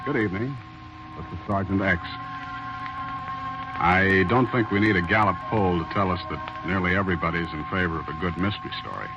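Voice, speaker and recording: A 1940s radio mystery host. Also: male voice, deep voice, a little fast. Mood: genial. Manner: genial, theatrical announcing. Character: genial male detective host of a mystery show. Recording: dull old 1940s radio broadcast with music.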